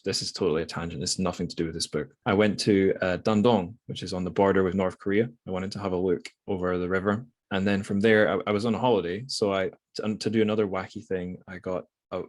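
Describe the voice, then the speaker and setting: A man with a notably low voice talks casually as a podcast host. He feels his way through thoughts about a book, hesitating, doubling back and rewording as he goes. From Scotland. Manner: hesitant, self-correcting, rambling.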